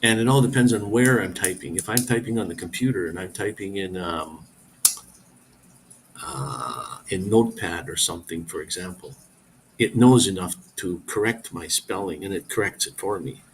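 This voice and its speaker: Man, middle-aged, fairly low voice, live stream host, casual rambling chat, answers viewer comments. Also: moderate pace, a little hiss or hum.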